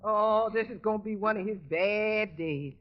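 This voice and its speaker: An actress playing a good-natured, long-suffering household cook, wry and expressive, in a 1940s radio sitcom. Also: female voice, mid-range voice, normal speed, faint music behind, close to an everyday voice. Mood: obliging, puzzled. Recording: dull band-limited 1940s radio recording.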